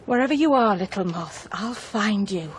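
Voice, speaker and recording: A woman's voice: medium pitch, lively intonation, normal speed, slight background noise, lightly acted. An actress playing a tender, anxious newlywed English woman in a radio drama, well-spoken, wistful and polite.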